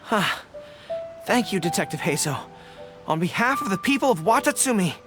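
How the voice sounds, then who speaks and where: An earnest general in a video game. His voice is high and moves in lively swings, yet stays formal and sincere. There is faint music behind him. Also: normal speed. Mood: surprised, grateful. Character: earnest, honourable young male army general.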